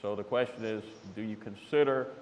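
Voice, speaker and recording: A man moderating a live audience Q&A, formal but unscripted, with a deliberate, halting, wordy delivery. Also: lowish voice, steady tone, darkish tone. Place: large hall with an audience. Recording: echoey hall with faint background noise.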